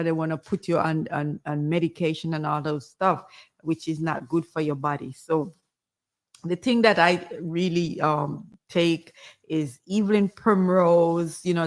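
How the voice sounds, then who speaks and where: Jamaican woman, casual advice talk, gentle, rambling, lots of fillers. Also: low-pitched, fairly even intonation, normal speed. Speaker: guest. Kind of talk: casual health advice chat.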